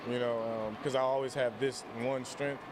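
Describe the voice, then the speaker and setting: A man's voice: medium pitch, flat delivery, normal speed. A young man, a college football player, answering casually and conversationally in a sports interview. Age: young adult. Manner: conversational, many you-knows. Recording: outdoor interview with crowd noise.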